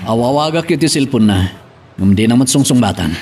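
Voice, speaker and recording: A man's voice: mid-range voice, expressive, fairly quick, darkish tone, natural voice. Earnest Filipino son, noisy surroundings played by a man. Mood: grave, worried. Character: earnest grown son reporting to his mother. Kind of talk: radio drama acting in Ilocano. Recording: clear ambient noise behind.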